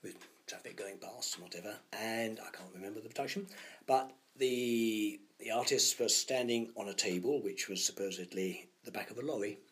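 A man musing about sound effects in an interview, sometimes dropping to hushed asides. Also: on the low side, moderate pace. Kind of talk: anecdotal interview chat.

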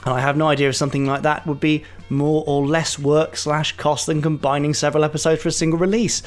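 A man's voice: a little high, flat delivery, quick pace, faint music behind. A man co-hosting a podcast, reading a listener's letter in a playful, giggly way. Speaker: podcast co-host.

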